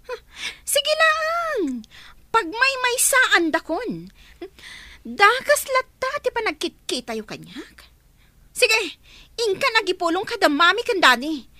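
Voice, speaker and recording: A woman's voice: extremely high pitch, very animated, slow pace, sharp tone, dry recording, exaggerated voice. A woman playing a bratty, quarrelsome, domineering daughter in a radio drama, sneering and confrontational.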